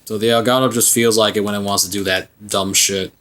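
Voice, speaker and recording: A man's voice: on the low side, steady tone, a little fast, fairly bright tone, slight background noise. A young man in his twenties co-hosting a gameplay video, chatting casually with laughs and rambling restarts. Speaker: let's play co-host.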